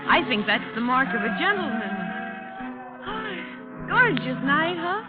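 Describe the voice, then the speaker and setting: An actress playing a flirty, easygoing, simple-minded blonde, gushing with affected gentility, in a 1940s radio drama. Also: female voice, high-pitched, big pitch swings, unhurried. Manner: gushing, coquettish, affectedly genteel. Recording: tinny old radio, music behind.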